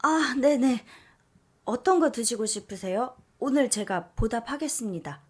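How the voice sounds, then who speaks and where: A woman voicing a flustered, apologetic young woman office worker who talks quickly and pitches up. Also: expressive, breathy edge. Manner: flustered, high and urgent.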